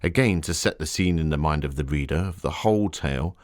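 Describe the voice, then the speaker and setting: An actor playing a Victorian gentleman author, narrating his travels in a formal, literary, theatrical manner. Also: a bass voice, fairly quick. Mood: fascinated, grave. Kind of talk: scripted dramatic narration.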